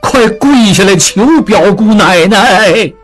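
A woman voicing a bullying male elder who shouts and scolds. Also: mid-range voice, lively intonation, slow pace, husky, soft background music. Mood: shouting.

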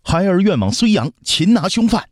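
A man's voice: high voice, very animated, moderate pace, no room sound, studio quality, voice pushed up. A man voicing a proud, stubborn eight-year-old crown prince who speaks formally and defiantly in a historical audio story. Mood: resolute, respectful.

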